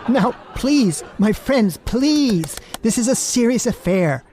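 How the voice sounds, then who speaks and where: A man's voice: very high-pitched, expressive, slow, a little mellow, slight background noise, exaggerated voice. An actor playing a fussy, meek, henpecked husband in a radio play, stammering and prim in his deference. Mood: flustered, pleading. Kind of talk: old-time radio drama acting.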